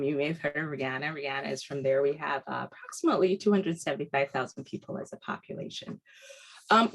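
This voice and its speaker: A woman from Barbados giving an earnest webinar lecture over a video call, often pausing on ums and restarting her sentences. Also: sits low, breathy edge, rough recording. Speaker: guest lecturer.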